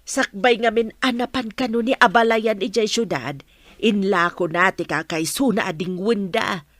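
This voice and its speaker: A woman playing an exasperated village wife in a radio drama, complaining and sharp-tongued. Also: medium pitch, normal speed, no room sound, natural voice. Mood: scolding, insistent.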